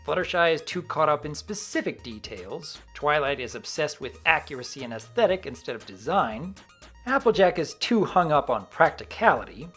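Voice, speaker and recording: Male review host, expressive swings in pitch, reading prepared commentary over light background music. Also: normal speed. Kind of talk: semi-scripted review commentary.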